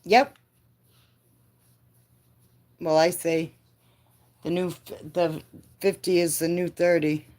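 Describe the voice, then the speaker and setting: A woman in her fifties chatting with her live viewers. Her voice sits low and she takes her time, often laughing and answering the chat. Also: level tone, slow, pauses often, a little mellow. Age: 50s. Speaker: livestream host.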